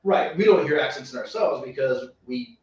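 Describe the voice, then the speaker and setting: A man's voice: medium pitch, animated, normal speed, a little mellow. A man from West Texas with an American accent, chatting casually and anecdotally as a home brewer co-hosting a brew day. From West Texas, United States. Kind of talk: casual chat. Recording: distant, echoey, dull lo-fi room sound.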